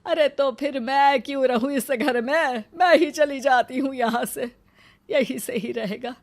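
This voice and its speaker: A woman's voice: sits high, a little slow. A woman doing a stern, scolding mother-in-law. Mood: resigned. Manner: scolding and sarcastic, bitter asides. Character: stern, sharp-tongued older mother-in-law. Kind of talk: cartoon story voice acting.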